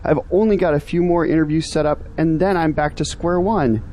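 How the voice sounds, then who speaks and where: A man's voice: a little high, a little fast, dark tone, a little hiss or hum, lightly acted. A man playing an earnest, discouraged young graduate in an audio drama, complaining about his troubles. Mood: frustrated. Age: young adult.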